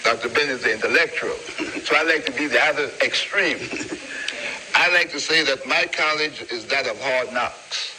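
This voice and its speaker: A man's voice: medium pitch, moderate pace, noisy background. A man speaking as a talk show guest, in an offhand, mocking way.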